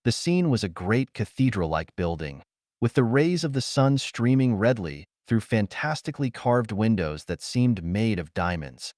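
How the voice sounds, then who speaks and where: A man's voice: fairly low pitch, sing-song, normal speed, darkish tone, no room sound, clean studio sound. A man narrating a story as an audiobook, reading scripted prose dramatically.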